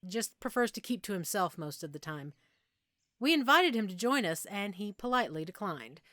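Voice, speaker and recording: A woman playing a middle-aged, self-assured werewolf pack alpha in an intimate audio roleplay, explaining things conversationally with measured pauses. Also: mid-range voice, big pitch swings, pushed and bright, dry recording, clean studio sound. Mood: calm, frank.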